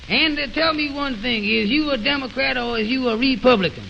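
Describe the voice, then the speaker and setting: A man playing a naive, slow-witted, questioning fellow in a 1920s radio comedy, with puzzled, halting, folksy ungrammatical talk on an old recording. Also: extremely high pitch, vocal fry, sharp tone, dull audio, slight background noise, exaggerated voice.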